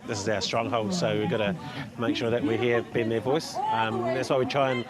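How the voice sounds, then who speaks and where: A man's voice: mid-range voice, level tone, brisk. Kiwi guy talking plainly outside, heaps of crowd noise all round. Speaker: member of parliament. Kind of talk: casual outdoor remarks. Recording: loud crowd noise around.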